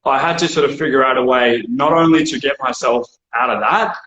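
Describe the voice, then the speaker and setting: A man's voice: medium pitch, a little fast, lo-fi sound. Chatty Australian man in an echoey room. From born in India, raised in Australia. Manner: relaxed, chatty, lots of fillers and restarts. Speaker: physiotherapist guest. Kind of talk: casual interview over video call. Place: his physio clinic, echoey room.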